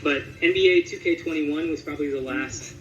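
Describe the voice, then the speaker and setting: A man with a fairly high voice talks in a casual interview as a voice actor. He thinks aloud in a conversational, hesitant way. The room sounds echoey, and music plays faintly behind him. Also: brisk, lo-fi sound. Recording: roomy echo with music underneath.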